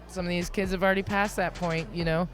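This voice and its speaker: A woman hosting a podcast interview, talking casually and chattily with many fillers and restarts, her voice low for a woman. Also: fairly even intonation, quick pace, faint music behind, rough recording. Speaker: podcast host.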